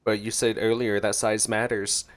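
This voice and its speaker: A man's voice: fairly low pitch, level tone, normal speed. A man streaming gameplay with casual reaction commentary, sometimes reading dialogue aloud.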